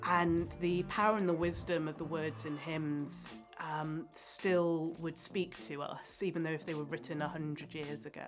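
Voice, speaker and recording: Woman from Wales, English accent, lowish voice, reflective church testimony, soft music underneath. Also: level tone, unhurried, few pauses. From South Wales. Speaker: church team member. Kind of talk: casual personal reflection.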